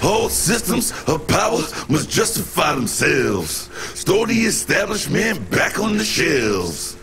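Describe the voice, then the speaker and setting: A man's voice: fairly high pitch, very animated, slow pace, over music, full character voice. A man playing a defiant anti-establishment street singer in a game, delivering rhymed protest in a sing-song, declamatory way. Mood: accusing, defiant. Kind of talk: sung, rhyming protest speech in a game.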